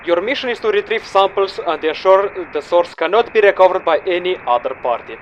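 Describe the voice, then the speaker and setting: A stern male mission handler, acted by a man with a high voice for a man. He briefs formally and curtly in a game audio log, businesslike and unyielding, over faint background noise. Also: expressive, a little fast, muffled recording. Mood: grave.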